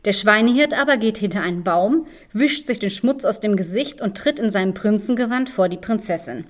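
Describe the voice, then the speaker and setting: Woman podcast host reading a fairy tale, mid pitch, expressive storyteller. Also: fairly quick. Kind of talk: fairy-tale narration and discussion.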